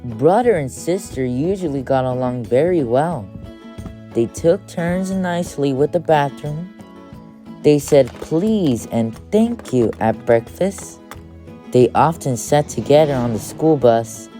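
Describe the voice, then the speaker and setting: A woman's voice: low-pitched, animated, slow pace, warm tone. A woman narrating a children's storybook as an audiobook reader, with music behind her.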